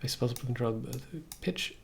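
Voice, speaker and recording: A man's voice: mid-range voice, level tone, quick pace, gravelly, slight background noise. A young man giving a casual live tutorial, thinking aloud in a musing way as he streams. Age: young adult. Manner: musing, reads aloud then comments. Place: small room indoors at computer desk.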